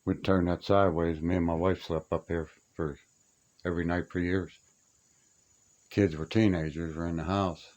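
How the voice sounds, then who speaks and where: Older man, very low-pitched voice, plainspoken and folksy, casual reminiscing, unscripted talk. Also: level tone, moderate pace, a little mellow. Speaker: radio hobbyist showing his collection. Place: small upstairs room being stripped to studs.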